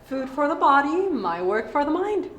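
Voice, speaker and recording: A woman's voice: high voice, sing-song, normal speed, a bit bright. A young woman acting a self-assured femme fatale novelist in a comedy-drama, breezy and self-important. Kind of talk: acted dialogue in a comedy-drama web series. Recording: roomy sound with faint background noise.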